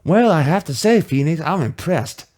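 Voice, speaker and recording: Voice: mid-range voice, animated, moderate pace, darkish tone, natural voice. A performer voicing a composed, encouraging senior woman lawyer from a video game, light and polite.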